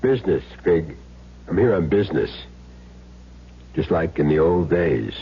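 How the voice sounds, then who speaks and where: A man's voice: low voice, expressive, measured pace, a little hiss or hum. An actor playing a cool, terse, matter-of-fact hitman in a 1970s radio drama. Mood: businesslike. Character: Jim Derry, cool laconic hitman back in town. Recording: dull lo-fi old radio recording.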